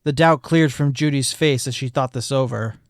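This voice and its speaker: A man narrating a fan fiction story with expressive storytelling. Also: mid-range voice, moderate pace. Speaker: fanfic reader. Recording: music bed behind voice.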